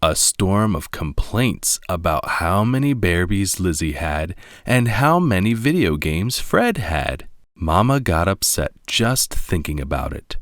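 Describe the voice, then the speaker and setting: A man narrating a children's storybook as a read-along, with a deliberate, expressive delivery. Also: sits low, no room sound, studio quality.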